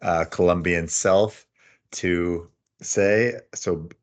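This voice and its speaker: Man, low deep voice, podcast host, casual playful chat. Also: steady tone, very slow. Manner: playful, jokey.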